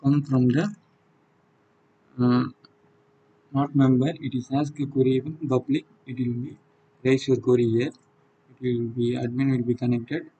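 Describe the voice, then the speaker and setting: A man with a South Indian accent and a husky voice, giving an unscripted software walkthrough in halting, non-fluent phrases. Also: medium pitch, little pitch movement, rough recording. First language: Tamil. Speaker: software demonstrator. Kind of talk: unscripted screen-recorded tutorial walkthrough.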